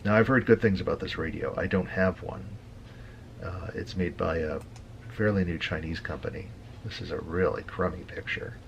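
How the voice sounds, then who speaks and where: A middle-aged man with a very deep voice talks through an informal tutorial. His delivery is gravelly and halting, as he thinks out loud while browsing. Faint noise sits behind him. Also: lo-fi sound. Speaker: radio hobbyist presenting a tutorial. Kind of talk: unscripted casual explainer.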